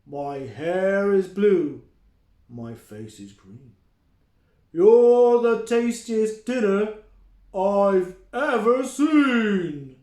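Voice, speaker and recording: A man's voice: sits high, big pitch swings, dark tone, exaggerated voice. A man voicing a grumpy, boastful storybook troll who bullies and roars his words out emphatically. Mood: menacing. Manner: roaring, drawn-out, emphatic delivery. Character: grumpy, boastful male bridge troll, loud and bullying. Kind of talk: children's storybook character voice. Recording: roomy, echoing indoor sound.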